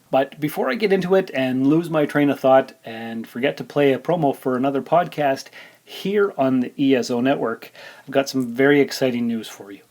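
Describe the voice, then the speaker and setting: A man's voice: mid-range voice, darkish tone. A man hosting his podcast, talking in a casual, rambling way with fillers. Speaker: podcast host. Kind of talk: casual solo podcast chat.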